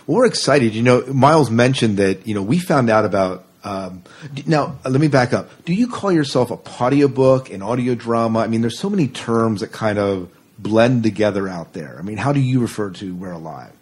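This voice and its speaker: A man's voice: on the low side, lively intonation, a little fast, a little mellow, slightly rough. A man co-hosting a podcast, asking questions in a casual, rambling way. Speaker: podcast co-host. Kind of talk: casual interview chat.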